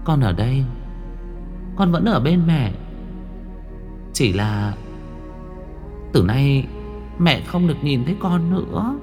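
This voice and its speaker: A man's voice: medium pitch, sing-song, slow, long pauses, darkish tone, faint music behind, pitched above the performer's own voice. A man voicing a young ghost daughter in a Vietnamese story, speaking faintly and tenderly to the mother. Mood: gentle, consoling. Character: weak, loving ghost daughter, young girl comforting mother.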